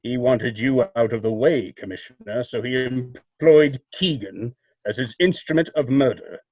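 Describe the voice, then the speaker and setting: An actor playing a knowing crime-fighting avenger in old-time radio drama style, speaking in a measured, formal, commanding way. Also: male voice, medium pitch, darkish tone, breathy edge, poor audio. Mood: accusing, assured. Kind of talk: 1940s radio drama acting.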